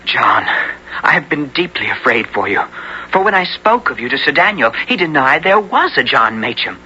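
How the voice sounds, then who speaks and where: A man playing a bold young English gentleman hero in a 1970s radio drama, earnest and theatrical, with archaic formal speech. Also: on the high side, very animated, normal speed, slight background noise, exaggerated voice. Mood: earnest, amazed. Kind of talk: 1970s radio drama acting and first-person narration. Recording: dull 1970s radio broadcast.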